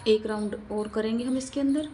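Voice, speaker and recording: A woman's voice: on the high side, steady tone, a little fast, warm tone, slight background noise. A woman narrating a step-by-step craft tutorial, explaining in a repetitive, instructional way and counting aloud.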